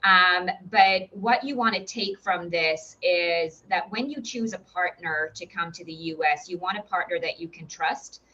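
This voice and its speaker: A woman's voice: on the low side, measured pace, hardly any pauses, bright, forceful tone, muffled recording. A woman with an Irish accent, a recruitment director giving an upbeat, encouraging presentation with frequent fillers and restarts. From Ireland. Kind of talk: webinar presentation.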